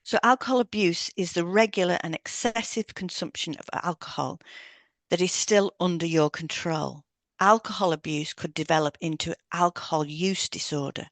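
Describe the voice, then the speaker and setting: A woman reads scripted educational podcast narration. Her voice sits a little low for a woman. She explains points step by step, and the sound is dry, with no room around it. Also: moderate pace, no echo. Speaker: podcast co-host, hypnotherapist.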